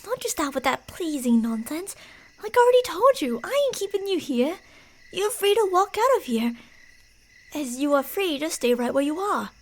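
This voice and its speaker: A woman playing a creepy, delusional stalker posing as a park ranger in an ASMR roleplay, teasing and falsely sweet, slipping between soft speech and whispers. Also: high-pitched, very animated, normal speed. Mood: falsely sweet, menacing.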